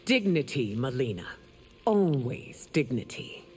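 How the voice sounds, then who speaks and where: A woman's voice: extremely low pitch, sing-song, measured pace, a little mellow, breathy edge, long reverb tail, faint music behind, heavily acted. An actress playing a proud, stern empress and mother in a video game, speaking formally and imperiously. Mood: solemn. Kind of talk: dramatic video game dialogue.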